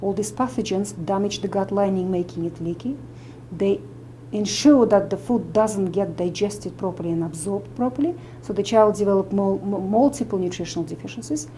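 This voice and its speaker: A middle-aged woman from Russia with a Russian accent, a medical doctor and nutritionist explaining her views to camera in an earnest, steady way. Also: mid-range voice, moderate pace, slight background noise, rough recording.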